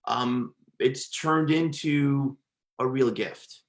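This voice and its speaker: A man's voice: mid-range voice, very slow. A man who stutters, a podcast guest telling his own story in a reflective, conversational way, with repeated words and restarts. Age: adult.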